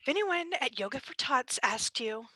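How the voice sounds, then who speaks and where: A woman's voice: sits high, expressive, moderate pace, bright, forceful tone, heavily acted. A woman acting a prim, controlling young mother in a screenplay table read. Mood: strained sweetness.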